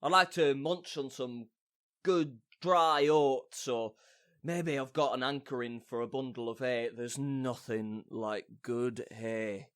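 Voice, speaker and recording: Man playing a slow, drowsy donkey-headed fool. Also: medium pitch, fairly even intonation, a bit bright, clean tone, dry recording, studio quality. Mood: dreamy, hungry. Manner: slow, drawn-out, savoring words. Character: drowsy man with a donkey's head, simple, self-satisfied. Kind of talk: modernized Shakespeare audio drama acting.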